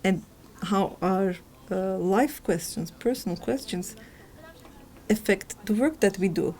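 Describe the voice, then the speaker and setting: A woman's voice: medium pitch, takes it slowly, a little mellow. A woman historian in her forties from Turkey, speaking earnestly and reflectively in a documentary interview.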